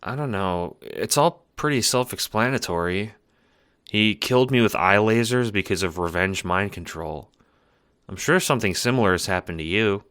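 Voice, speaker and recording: A man voicing a deadpan weary troll girl. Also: lowish voice, normal speed, smooth, no echo, studio quality. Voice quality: flat and deadpan. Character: calm, weary troll girl who died, dry. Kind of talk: fan audio drama acting.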